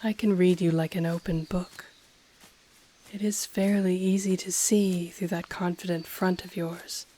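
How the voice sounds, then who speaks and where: A woman voicing an ancient dragon familiar, possessive, teasing and tender, speaking softly and formally in an intimate ASMR roleplay. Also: lowish voice, flat delivery, a little hiss or hum, close to an everyday voice. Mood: teasing, seductive. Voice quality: soft, breathy, often whispering. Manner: slow, formal, teasing, purring delivery.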